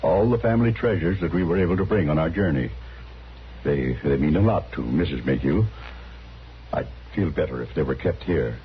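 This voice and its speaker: An actor playing a formal, anxious older gentleman traveler, polite and hesitant, in an old-time radio western. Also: extremely low pitch, expressive, moderate pace. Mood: earnest, guarded. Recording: muffled, noisy old radio recording.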